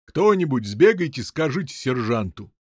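Man voicing a hearty, animated older knight. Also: mid-range voice, moderate pace, no room sound, clean studio sound. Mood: commanding. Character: hearty, bluff, commanding older knight, lord of castle.

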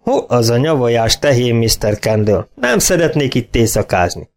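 A man voicing a brisk, impatient middle-aged vet who gives curt orders in a read-aloud story. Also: mid-range voice, big pitch swings. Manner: curt, hurried, commanding.

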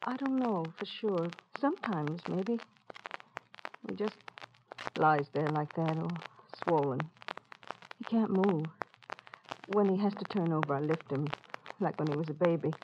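A woman's voice: on the low side, lively intonation, measured pace, pauses often. A woman playing a worn, anxious, loving mother, hesitant and plain-spoken, in an old radio drama. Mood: weary, sorrowful. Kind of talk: 1940s-50s radio drama acting. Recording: muffled, noisy old radio recording.

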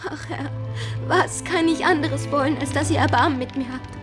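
Young woman captive acted by a woman, high voice, frightened, devout, imploring, radio drama, music behind. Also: steady tone, moderate pace, lightly acted. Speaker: actor in a radio play. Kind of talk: dramatic radio play acting.

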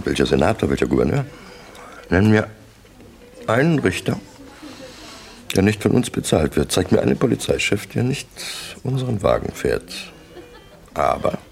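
An actor playing a coldly pompous crime syndicate boss in a radio drama, deliberate, terse and menacing, as if lecturing. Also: male voice, rumbling low, creaky, heavily acted. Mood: scornful, forceful.

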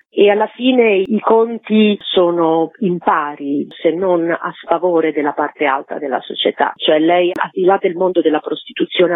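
A woman's voice: lowish voice, breathy edge, dry recording. A woman speaking as an actress and director in an interview, articulate and reflective, explaining at length over the telephone. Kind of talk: spoken interview about a stage production. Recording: tinny phone line on radio.

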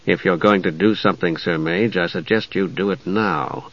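A man voicing a cold, commanding, battle-hungry dark knight in a fantasy audiobook, terse and imperious. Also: low voice, fairly even intonation, quick pace, creaky, husky, dull audio, voice pushed down, heavily acted. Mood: grim, soft.

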